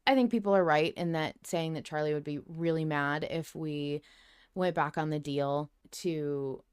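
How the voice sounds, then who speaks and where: A woman's voice: low-pitched, moderate pace, darkish tone, smooth. A woman co-hosting a podcast in casual, opinionated chat, blunt and emphatic, swearing freely. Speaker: podcast co-host discussing a fiction series.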